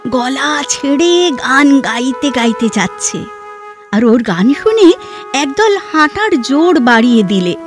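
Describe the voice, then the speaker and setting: Poised, slow-spoken literary heroine. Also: female voice, high-pitched, lively intonation. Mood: wondering. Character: poised, restrained, literary young woman, gently teasing. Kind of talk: Bengali audio drama acting. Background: background music.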